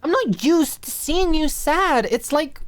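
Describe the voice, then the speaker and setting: Lady doing a pouty blunt young gal, pitched up, caring and pushy, audio drama. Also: expressive, a little slow. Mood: exasperated, insistent. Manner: casual, emphatic, insistent, uses filler "like". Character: blunt, caring, pouty young woman confronting her friend.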